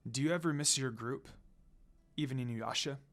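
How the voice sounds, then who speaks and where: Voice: medium pitch, normal speed, fairly bright tone, soft background music, voice pushed down, lightly acted. A storyteller voicing a cold, proud male demon lord in a fan fiction reading, murmuring and stern. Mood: calm, reserved.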